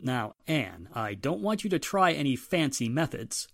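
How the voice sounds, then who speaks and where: A man's voice: mid-range voice, sing-song, normal speed, vocal fry, fairly bright tone, full character voice. A man playing a stern, fatherly police inspector in a 1940s-style radio drama, admonishing and authoritative. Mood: scolding.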